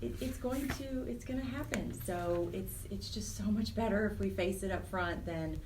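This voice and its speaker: A woman's voice: medium pitch, normal speed. American woman, slightly distant mic. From Wyoming, United States. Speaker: interview guest, charity program director. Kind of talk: personal, conversational radio interview. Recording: distant mic with faint noise.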